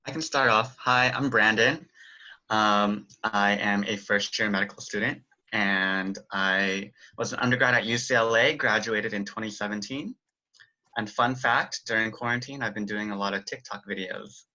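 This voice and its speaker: Bright-voiced young man on a video call. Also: lowish voice, steady tone, normal speed. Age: 20s. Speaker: panelist, medical student. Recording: muffled video call audio.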